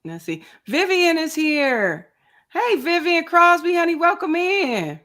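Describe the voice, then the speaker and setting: A woman's voice: very high-pitched, big pitch swings, slow pace, fairly bright tone. A woman in her fifties hosting a casual livestream, chatty and greeting viewers by name with frequent laughs. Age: 50s. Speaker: crochet channel host.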